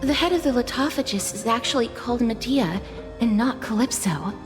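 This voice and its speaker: A woman voices a young female scholar in a video game. Her voice sits fairly high, polite and inquisitive, with precise, logical phrasing over faint music. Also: animated, brisk, lightly acted. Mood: earnest, inquiring. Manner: earnest, precise, articulate. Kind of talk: scripted video game voice acting.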